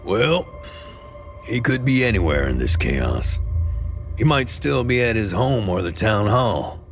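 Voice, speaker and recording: A man voicing a wary, haunted town mechanic in a dramatic video game, blunt and halting in delivery. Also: on the low side, sing-song, faint music behind. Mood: helpful, weary. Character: wary, haunted male town mechanic in a war-torn land. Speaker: town mechanic and scrapyard owner.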